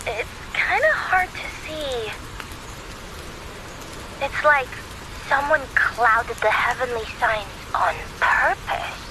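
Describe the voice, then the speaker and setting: Perky high-voiced quirky young seer. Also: female voice, takes it slowly, noisy background. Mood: musing, chatty. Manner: playful, breezy, slangy, expressive. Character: perky quirky young woman reader of frequencies and omens. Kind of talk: video game voice acting.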